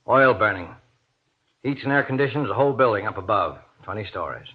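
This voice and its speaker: An American actor playing an irritable, domineering husband with a gruff, scolding manner in an old-time radio horror drama. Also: male voice, fairly low pitch, animated, brisk. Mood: gruff, matter-of-fact. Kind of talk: golden age radio horror acting. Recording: dull, muffled old radio recording.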